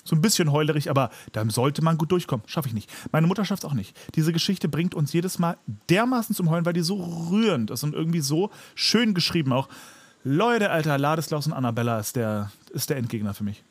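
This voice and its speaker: A man's voice: on the high side, expressive, brisk, slightly rough, no room sound. A man co-hosting a podcast in casual chat, speaking emphatically and repeating words for emphasis. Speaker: podcast co-host.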